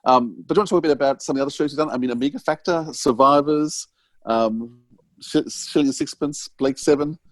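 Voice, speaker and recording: A man's voice: on the high side, fast, dry recording. A man with an Australian accent co-hosting a podcast in a casual, conversational interviewing style.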